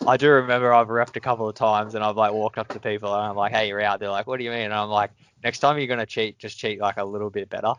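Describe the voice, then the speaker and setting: A man's voice: fairly low pitch, fairly even intonation, quick pace, few pauses, a bit bright, clean tone, highs cut off. Easygoing man, laughs often. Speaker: podcast host. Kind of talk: casual podcast chat.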